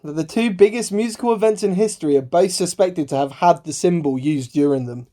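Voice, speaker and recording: Lady acting a cheeky eager sidekick in a radio mystery, lowish mellow voice, bouncy bantering delivery. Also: a little fast, natural voice. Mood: intrigued. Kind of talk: amateur radio play acting. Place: recorded alone at home.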